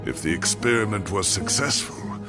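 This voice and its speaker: An actor playing a weary, regretful scholar in a video game, recounting the past in a halting, reflective way. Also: male voice, on the low side, over music, close to an everyday voice. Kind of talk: dramatic video game narration in character.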